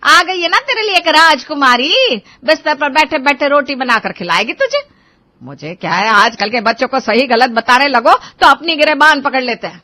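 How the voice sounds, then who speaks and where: A woman voicing a nagging, sharp-tongued mother. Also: fairly high pitch, expressive, normal speed. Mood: sarcastic. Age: middle-aged. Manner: scolding, sarcastic.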